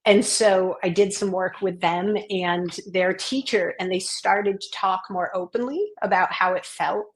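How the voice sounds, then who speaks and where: A woman guest on a podcast interview, from Maine, talking in an earnest, reflective way and growing animated as she tells stories. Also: mid-range voice, unhurried, poor audio. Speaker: guest, education consultant and author.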